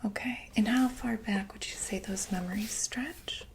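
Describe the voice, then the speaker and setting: A woman playing a calm doctor for monsters, asking gentle, patient questions in a soft unvoiced whisper for an ASMR roleplay. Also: mid-range voice, normal speed, a little hiss or hum, natural voice.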